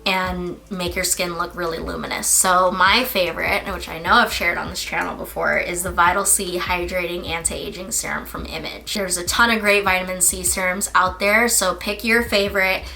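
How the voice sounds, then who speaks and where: A woman esthetician hosting a casual skincare vlog, her voice a little raspy from a lingering cough, chatting through her routine with fillers. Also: on the low side, steady tone, moderate pace, few pauses, pushed and bright, poor audio. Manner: chatty, explaining step by step with fillers. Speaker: licensed esthetician and beauty channel host. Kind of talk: casual product-review vlog.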